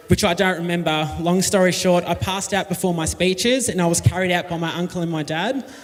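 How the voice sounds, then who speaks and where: Aussie guy doing a wedding toast, high voice, big echoey hall. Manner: reminiscing, jokey, read from notes. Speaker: wedding speaker, friend of the couple. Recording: long hall reverb.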